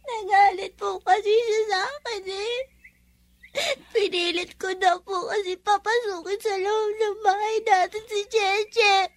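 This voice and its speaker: Very high-voiced, timid little girl. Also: fairly even intonation, measured pace, long pauses, dry recording. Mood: tearful, upset. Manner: hesitant, stammering, deferential. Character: polite, timid, tearful young girl. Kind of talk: Filipino audio drama acting.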